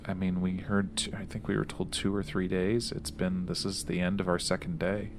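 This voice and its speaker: A man's voice: deep voice, level tone, moderate pace, background noise. A man improvising in-character dialogue as a tabletop roleplaying player, earnest and somewhat hesitant.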